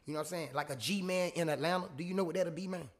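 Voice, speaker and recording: A young man. He is an independent rap artist talking casually in an interview, full of street slang, calling people "bro" and checking in with "you know what I'm saying". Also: very fast. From Portsmouth, Virginia. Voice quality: high-pitched voice.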